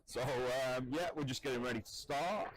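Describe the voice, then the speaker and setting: A man commentating live on a pool match, talking loudly and in a chatty way. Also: medium pitch, moderate pace, a crowd in the background, poor audio. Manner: loud, chatty, laughs easily. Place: commentary spot at a pool venue.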